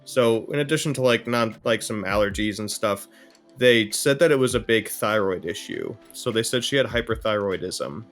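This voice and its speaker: A man with a lowish voice explains case details in an informal, conversational report. He sounds like a member of a care team, and faint music plays under his speech. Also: lively intonation, normal speed, a bit bright.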